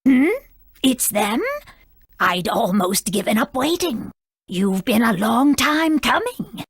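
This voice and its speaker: Haughty old wise woman sage for a game, husky, takes her time, very swoopy. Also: on the high side, expressive, no echo, clean studio sound, heavily acted. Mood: expectant. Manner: haughty, formal, deliberate. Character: proud aged female great sage, stern yet kindly. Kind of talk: video game voice acting.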